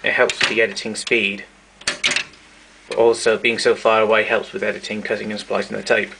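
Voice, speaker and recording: A man narrating a casual show-and-tell of his tape recorders, explaining step by step with pauses and fillers. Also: fairly low pitch, level tone, gravelly, noisy background.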